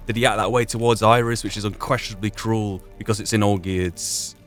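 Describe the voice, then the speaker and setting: A man's voice: lowish voice, fairly even intonation, brisk, a bit bright. A man giving reflective solo commentary on a roleplay playthrough, posing philosophical questions to himself as he goes. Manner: musing, philosophical, poses rhetorical questions. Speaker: gamer narrating a roleplay playthrough. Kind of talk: reflective solo game commentary, musing in and out of character. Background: video game music.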